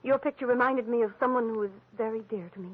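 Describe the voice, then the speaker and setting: A woman's voice: a little high, quick pace, natural voice. An actress playing a coy, anxious young wife of a rich man, hesitant and refined, in a 1940s-style radio drama. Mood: coaxing. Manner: hesitant, breathy, faltering, refined. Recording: very muffled, phone-like old radio recording.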